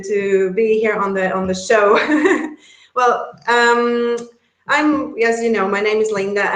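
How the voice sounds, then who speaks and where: A woman's voice: medium pitch, a bit bright, echoey room. A woman from Latvia with a Latvian accent, a guest chatting casually in an interview, laughing often and restarting her sentences. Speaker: guest, relocation service founder.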